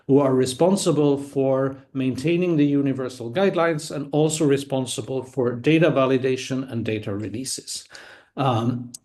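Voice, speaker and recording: A man whose first language is Swedish, giving an academic conference talk online in a measured, explanatory way with small restarts. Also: medium pitch, darkish tone. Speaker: conference speaker.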